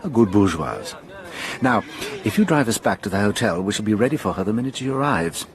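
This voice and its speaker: An actor playing a vain, teasing detective in a radio drama, calm, precise and sure of himself. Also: male voice, on the low side, brisk, noisy background. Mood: unhurried, confident. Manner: self-assured, theatrical, precise, wryly amused. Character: incomparable, vain, teasing male French detective, calm and commanding.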